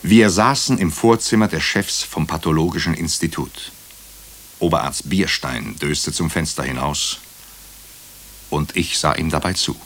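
An actor playing a brooding hospital doctor who narrates a radio crime drama in clipped, deliberate phrases. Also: male voice, rumbling low, fairly bright tone, full character voice. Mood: grave, measured. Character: brooding male hospital doctor recounting a murder case. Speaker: actor narrating as the lead character.

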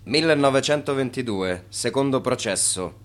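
An actor in a radio drama playing a stern court announcer and formal narrator, reading from a script. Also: male voice, medium pitch, expressive, moderate pace, lightly acted. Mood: formal, detached.

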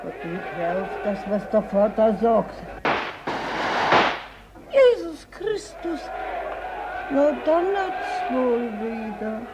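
Heavy noise and crowd uproar surround an anxious old woman in a radio drama. She speaks slowly, faltering as she pleads. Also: fairly high pitch, sing-song. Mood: worried. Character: anxious old weaver's wife. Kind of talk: German radio drama acting.

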